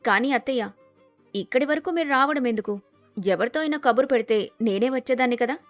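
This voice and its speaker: A woman playing a sensible, respectful young daughter-in-law who talks calmly and reassuringly in a dramatized story. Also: on the high side, brisk, soft background music, natural voice. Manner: polite, calm, reassuring.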